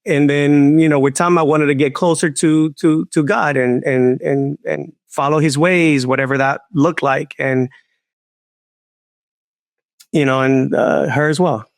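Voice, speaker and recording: A man in his forties from Puerto Rico, telling his story as a podcast guest in a halting way, with many restarts. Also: fairly high pitch, fairly even intonation, no room sound, clean studio sound. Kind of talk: personal testimony interview.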